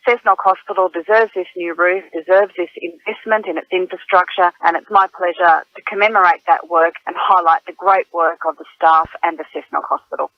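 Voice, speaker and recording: A woman's voice: on the low side, no echo. An Australian woman delivers a prepared political statement. The recording is very dry and slightly dull, like a clip taken over the telephone.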